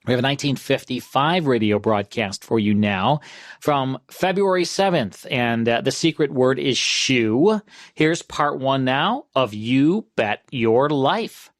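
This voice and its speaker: A man's voice: mid-range voice, expressive, unhurried, no echo, clean studio sound. A man hosting a classic radio program, presenting background information in an orderly, conversational way. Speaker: classic radio show host.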